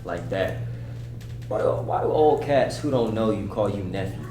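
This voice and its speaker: Man playing cocky guarded young man, low-pitched. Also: expressive, moderate pace, music in the background, close to an everyday voice. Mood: scoffing. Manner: slangy, curt. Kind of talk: scripted dialogue read aloud. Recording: echoey room, distant mic, clear noise.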